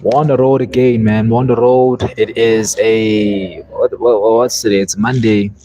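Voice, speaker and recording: A man's voice: lowish voice, fairly even intonation, a little slow, dark tone, dull audio. A young man from South Africa, whose first language is Zulu, chatting casually and rambling on a live stream, often saying "man". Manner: laid-back, rambling, says "man" often.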